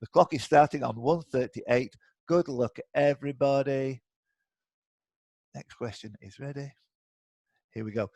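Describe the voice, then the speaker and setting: A man's voice: mid-range voice, a little slow, vocal fry, pauses often, a little mellow, dry recording, lo-fi sound. Chatty British male quiz host, dry sound. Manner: chatty, bantering. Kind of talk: live online quiz hosting, casual. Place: indoors, on a video call.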